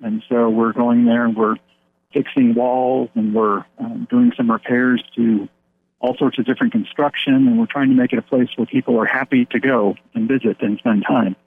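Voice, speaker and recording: A man's voice: mid-range voice, steady tone, normal speed, creaky, slight background noise. A man, a pastor from the United States, talking in an earnest, rambling way with many fillers and restarts during a phone interview. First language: English. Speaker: pastor of an English-speaking church abroad. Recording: tinny phone line.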